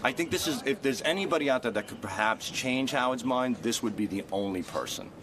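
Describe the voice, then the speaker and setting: A man's voice: medium pitch, lively intonation, a little fast, a crowd in the background. A man with a New York accent talking off the cuff in an earnest, rambling way as a radio show regular. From Long Island, New York. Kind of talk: unscripted conversation.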